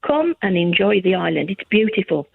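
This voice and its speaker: Gravelly woman on a phone line. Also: medium pitch, big pitch swings, fairly quick, dry recording. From England. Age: older. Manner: chatty, rambling, self-mocking. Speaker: caller. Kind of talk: phone-in chat.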